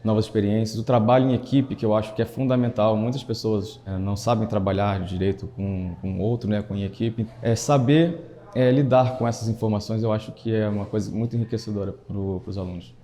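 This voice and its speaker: A man's voice: fairly low pitch, fairly even intonation, a little fast, dark tone. A man with a Brazilian accent, a chef instructor giving a reflective, emphatic testimonial for a documentary in short built-up phrases.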